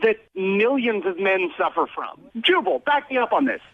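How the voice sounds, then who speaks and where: A man calling a radio show, talking casually in a halting, defensive way. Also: high voice, sing-song, vocal fry. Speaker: radio caller. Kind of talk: casual phone conversation. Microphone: phone. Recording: dull noisy phone line.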